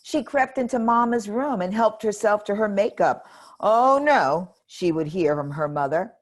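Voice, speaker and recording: A woman reading a children's picture book aloud in a gentle, expressive way. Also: mid-range voice, normal speed. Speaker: storybook reader.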